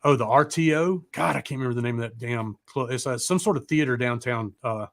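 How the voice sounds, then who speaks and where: A man's voice: medium pitch, fairly quick, fairly bright tone, no echo. A man hosting a live show in casual, rambling chat, mildly profane and often losing his train of thought.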